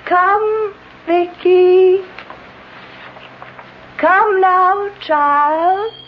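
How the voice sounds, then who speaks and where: Slow, serene motherly spirit of Death. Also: extremely high pitch, steady tone, noisy background, full character voice. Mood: beckoning. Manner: soothing, solemn, deliberate. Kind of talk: 1970s radio drama acting. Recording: muffled old radio broadcast with music.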